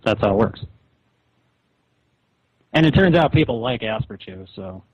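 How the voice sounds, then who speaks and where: Low, husky man on a muffled call. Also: animated, a little slow. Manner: blunt, condescending, persuasive. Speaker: rival webcomic creator. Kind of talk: phone argument. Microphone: telephone. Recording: muffled echoey phone call.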